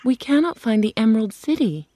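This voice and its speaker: A woman's voice: a little high, big pitch swings, brisk, dark tone, voice pushed up. A woman voicing a polite, eager young girl in a storybook reading.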